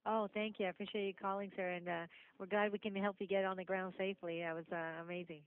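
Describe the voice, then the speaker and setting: A woman's voice: medium pitch, little pitch movement, fast. A woman working as an air traffic supervisor, speaking courteously on a work phone call. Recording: thin phone line.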